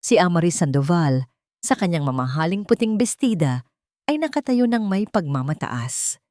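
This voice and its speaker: A woman's voice: fairly low pitch, big pitch swings, normal speed, a little mellow, no echo, clean studio sound. A woman storyteller delivering scripted, dramatic narration of a sentimental tale. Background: background music.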